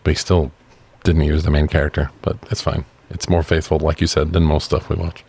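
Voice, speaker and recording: A man's voice: rumbling low, little pitch movement, fairly quick, creaky, a little mellow, breathy edge. A man co-hosting a casual film review chat, talking informally and swearing freely. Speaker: podcast co-host reviewing films.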